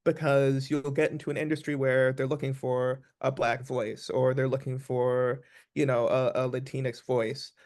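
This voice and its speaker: A man's voice: medium pitch, little pitch movement, moderate pace, hardly any pauses, darkish tone. An American man, an actor being interviewed, talking reflectively and restating his points with emphasis. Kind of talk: conversational interview.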